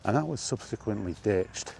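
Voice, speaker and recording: A British man with a deep, dark-toned voice talks casually to his viewers as a film vlogger. He rambles bluntly, with exasperation and dry sarcasm. There is faint noise behind him. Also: lively intonation, moderate pace, vocal fry. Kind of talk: casual opinionated film chat, rambling monologue.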